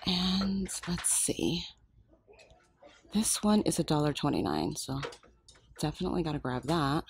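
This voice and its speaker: Low-pitched lady filming on her phone in a store, gushing and whispering over deals, bit of background hiss. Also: a little slow, vocal fry. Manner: excited, gushing, often hushed to a whisper. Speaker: shopping vlogger. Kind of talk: shop-with-me vlog. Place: craft store aisle. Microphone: handheld phone.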